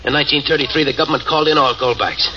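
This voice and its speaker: A man's voice: a little high, very fast, sharp tone. An actor playing a cold, vengeful ex-convict who is dying and taunts his trapped partner. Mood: scornful. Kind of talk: 1940s radio acting. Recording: dull old radio recording, faint hiss.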